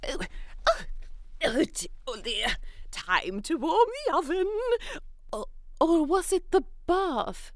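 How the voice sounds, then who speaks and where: A woman voicing a dotty, sly old lady in a children's story, with drawn-out, muttering speech and little exclamations. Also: high-pitched, big pitch swings, dry recording, clean studio sound, pitched above the performer's own voice, full character voice. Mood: flustered.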